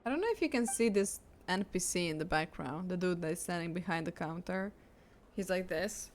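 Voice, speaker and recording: A woman's voice: lowish voice, normal speed, darkish tone, a little hiss or hum. A woman streaming a game, giving casual, chatty live commentary. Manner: chatty, reads game dialogue aloud.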